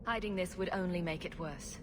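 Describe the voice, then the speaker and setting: A young, self-assured fantasy game heroine, acted by a woman. Her lowish voice is dry, wry and direct, heard over noisy ambience. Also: fairly quick, close to an everyday voice. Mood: serious, dry. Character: wry, confident young female adventurer hero. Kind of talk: video game acting. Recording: loud ambient noise behind.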